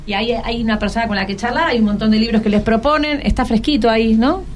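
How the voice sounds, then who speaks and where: Argentine woman hosting, slightly high voice. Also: steady tone, quick pace, a little hiss or hum. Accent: Argentine, Buenos Aires. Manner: prompting, asks short follow-up questions. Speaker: radio host interviewing schoolchildren. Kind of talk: conversational radio interview with children.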